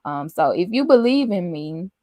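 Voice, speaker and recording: A soft-spoken woman hosting a podcast, chatting casually and laughing often. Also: on the low side, very animated, normal speed, no echo. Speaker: podcast host. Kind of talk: casual chat.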